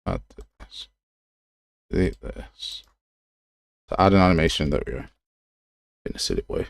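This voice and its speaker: A man's voice: low-pitched, lively intonation, a little slow, vocal fry, dry recording, clean studio sound. A man giving a casual live tutorial, talking to himself as he works and sounding self-deprecating. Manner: muttering to himself while working. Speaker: game development livestreamer.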